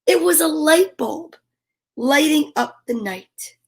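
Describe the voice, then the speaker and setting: A woman's voice: high voice, lively intonation, slow pace. A woman hosting a children's storytime, reading a picture book aloud expressively with chatty asides. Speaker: storyteller and host.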